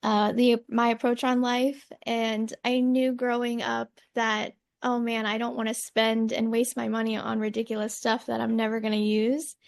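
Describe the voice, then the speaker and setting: A young woman in her twenties with a slightly higher, gravelly voice that stays flat in tone. She tells her story plainly, and the sound is dry and close. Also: normal speed, hardly any pauses, no room sound. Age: 20s. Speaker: marketing manager. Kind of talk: casual interview answers.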